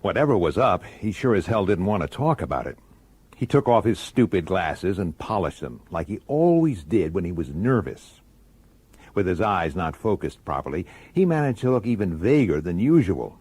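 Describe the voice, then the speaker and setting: A man's voice: deep voice, big pitch swings, moderate pace, darkish tone, husky, heavily acted. An actor playing a sardonic, grumbling middle-aged male detective who tells his case in a radio drama. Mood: wry, uneasy. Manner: grumbling, sarcastic, hesitant with superiors. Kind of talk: radio drama acting with first-person narration.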